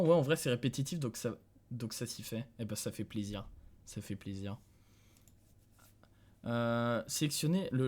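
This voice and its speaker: A young male streamer from France talks through his session in a loose, casual way, often thinking aloud and breaking into chuckles. He speaks into a desk mic in a dim room at home, addressing his viewers as he goes. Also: medium pitch. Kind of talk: casual live-stream commentary.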